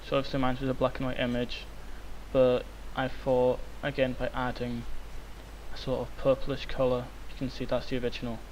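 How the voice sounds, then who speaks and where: A young man, hoarse and croaky from illness, rambling with many ums through a software tutorial. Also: mid-range voice, completely flat delivery, normal speed, low-quality recording. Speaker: tutorial maker. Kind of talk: screen-recorded software tutorial. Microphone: cheap microphone. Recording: faint hiss, cheap mic.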